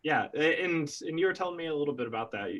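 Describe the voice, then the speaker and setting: A man's voice: fairly high pitch, expressive, fast, a bit bright. A man hosting a casual interview, chatty and enthusiastic, often restarting his sentences. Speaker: interviewer host. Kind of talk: casual video-call interview.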